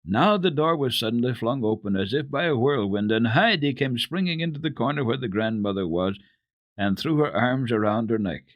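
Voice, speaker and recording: A man giving an expressive, deliberate dramatic reading of children's literature as a radio storyteller. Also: medium pitch, no room sound, highs cut off.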